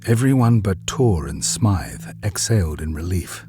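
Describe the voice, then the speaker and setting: A man narrating a scripted drama in a grave, deliberate storytelling manner. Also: low-pitched, animated, dark tone, soft background music.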